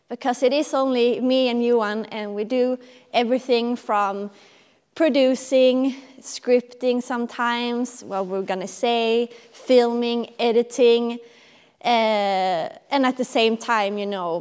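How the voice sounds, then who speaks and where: A woman's voice: a little high, a little mellow, smooth. Friendly woman in an echoing barn. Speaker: sailing vlogger. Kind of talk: casual vlog talk and voice-over narration. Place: large barn workshop. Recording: long echo of a large room.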